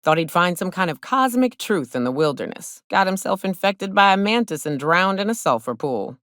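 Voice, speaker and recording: An actress playing a hard-drinking frontier hunter and guide in a video game, blunt and wry, with folksy speech. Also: female voice, on the low side, big pitch swings, moderate pace, fairly bright tone, no room sound, studio quality. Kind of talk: video game companion dialogue acting.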